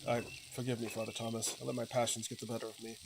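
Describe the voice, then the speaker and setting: A man's voice: medium pitch, completely flat delivery, fast talker, close to an everyday voice. Stern, resentful monk read by a man. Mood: formal, restrained. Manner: pointed, formal. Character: resentful, stern male abbey monk, formally polite. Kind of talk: reading video game dialogue. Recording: clear sizzling ambient noise behind voice.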